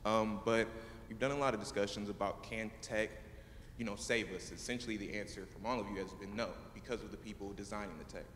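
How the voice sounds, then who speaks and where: A man's voice: on the low side, steady tone, fast talker, a bit bright, slight background noise. A man in the audience politely asking the panel a question, hesitant and full of fillers. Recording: echoey hall, long reverb.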